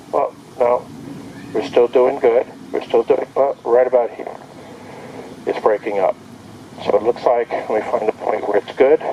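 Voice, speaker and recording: A man's voice: lowish voice, warm tone, slight background noise, low-quality recording. A man giving a casual hands-on product demonstration outdoors, thinking aloud in short step-by-step remarks. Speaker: product reviewer. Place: outdoor backyard.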